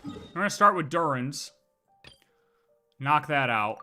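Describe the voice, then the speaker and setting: A man's voice: fairly high pitch, lively intonation, slow, sharp tone. A man giving casual, rambling livestream gameplay commentary, often questioning and answering himself. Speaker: game streamer. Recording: game music behind voice.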